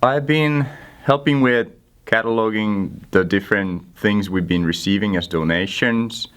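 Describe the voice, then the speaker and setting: Low-voiced young Finnish man. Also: a little slow, clean tone. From Finland. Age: young adult. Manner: dry self-deprecating humor. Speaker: guest intern. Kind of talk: casual interview answers.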